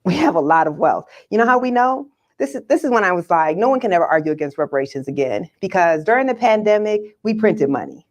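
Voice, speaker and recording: A woman giving explanatory commentary in conversation, articulate and emphatic, with measured pauses. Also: lowish voice, expressive.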